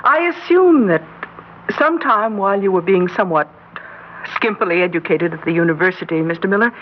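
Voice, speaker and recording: A woman's voice: mid-range voice, lively intonation, a little fast, lightly acted. A woman playing a precocious schoolgirl in an old-time radio drama, putting on girlish innocence and a superior, precisely worded manner. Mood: coolly superior. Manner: switches between gushing girlish and precise, condescending diction. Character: precocious schoolgirl plotting revolution, feigning giddy innocence, coolly superior. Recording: muffled, hissy old-time radio recording.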